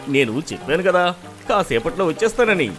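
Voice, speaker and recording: A man voicing a hearty, animated cartoon dad. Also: on the high side, fairly quick, faint music behind. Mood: teasing triumph. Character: jolly, teasing middle-aged father of cartoon children. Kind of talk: children's cartoon story dialogue.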